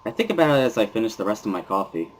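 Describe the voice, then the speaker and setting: A man's voice: lowish voice, very animated, very fast. A man giving an amateur dramatic reading of first-person narration from a visual novel. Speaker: narrator and reader. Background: music.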